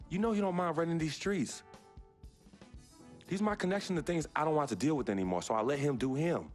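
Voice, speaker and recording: An actor playing a young male basketball star in a video game cutscene, speaking in an earnest, measured, heartfelt way. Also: mid-range voice, lightly acted. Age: young adult. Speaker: game protagonist.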